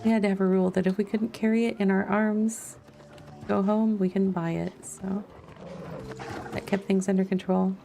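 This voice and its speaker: A woman's voice: mid-range voice, flat delivery, normal speed, creaky, dark tone, soft background music. A woman streamer giving casual live gameplay commentary, chatty and thinking aloud as she goes. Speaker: game streamer.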